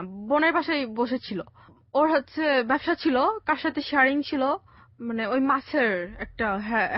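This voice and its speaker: A young Bangladeshi woman with a fairly high voice recounts her own life as a guest. She talks in a halting, conversational way and drops in English words. The recording is dry and a little dull. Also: no room sound. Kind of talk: conversational personal storytelling. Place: radio studio. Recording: dry, slightly dull radio sound.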